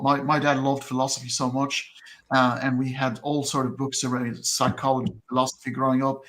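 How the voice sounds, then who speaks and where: Halting, thoughtful man, native Arabic speaker. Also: mid-range voice, a bit bright. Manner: thoughtful, halting, frequent restarts. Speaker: guest. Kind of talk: casual conversation.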